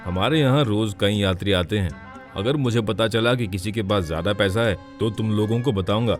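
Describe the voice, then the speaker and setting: A man's voice: lowish voice, normal speed, soft background music, close to an everyday voice. A man playing a scheming gambler and bandit villain in a moral story. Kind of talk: dramatised Hindi moral story.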